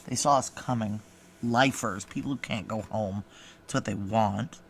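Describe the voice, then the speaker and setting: A woman's voice: very deep voice, normal speed, gravelly, breathy edge, full character voice. A tough, guarded woman veteran in a noir audio drama. She is blunt and sardonic, swears freely and mocks herself, slipping between intimate dialogue and first-person narration. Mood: bitter, resigned.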